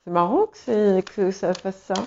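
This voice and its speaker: A woman's voice: medium pitch, expressive, moderate pace, darkish tone, dull audio. A woman whose first language is English, giving a tarot reading in a hesitant way, with many fillers and restarts. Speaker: tarot reader. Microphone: small clip-on mic.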